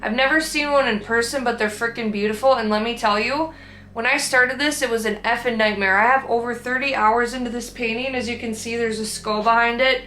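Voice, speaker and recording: A young woman with a thick Minnesotan accent talks in a loose, rambling way, full of fillers and restarts. Her voice is bright and a little high. The room is echoey, with a faint hiss behind her. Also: steady tone, brisk. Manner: chatty, rambling, upbeat, with frequent fillers and restarts. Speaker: artist vlogger. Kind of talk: casual vlog about her painting.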